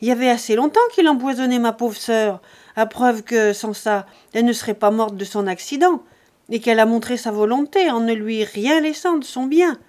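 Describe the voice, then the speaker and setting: A raging peasant woman, as a woman reader puts her on, shrieking insults high and loud. Her words tumble out in fast, shrill bursts. It sounds like a spoken storybook. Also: close to an everyday voice. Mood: screaming insults. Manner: shouting abuse, fast and shrill.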